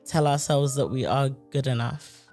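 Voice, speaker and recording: A woman's voice: rumbling low, normal speed, a little mellow, faint music behind. A woman from the UK with a British accent, talking frankly and conversationally on a podcast, with plenty of casual fillers. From United Kingdom.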